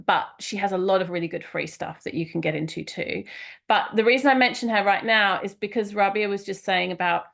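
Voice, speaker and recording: A woman's voice: mid-range voice, vocal fry, a bit bright. A woman running a group session on a video call for her business program, talking casually and affirming each person's introduction.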